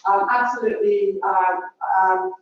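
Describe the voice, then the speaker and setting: A woman's voice: mid-range voice, steady tone, a little slow, slight background noise. A woman leading an open discussion at a public event, with many hesitant fillers. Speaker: event facilitator. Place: large room at a public event. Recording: echoey hall, distant mic, dull.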